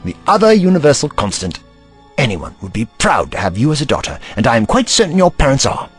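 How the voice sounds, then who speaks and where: Man to play theatrical alien doctor for audio drama: commanding, protective, hugely animated delivery, faint score behind. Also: mid-range voice, brisk, soft background music, lightly acted. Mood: thoughtful. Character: urgent, protective male alien doctor and investigator.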